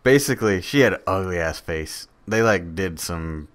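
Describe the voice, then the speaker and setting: A man's voice: deep voice, animated, moderate pace, fairly bright tone. A young man co-hosting a podcast in casual chat, laid-back and laughing often. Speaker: podcast co-host.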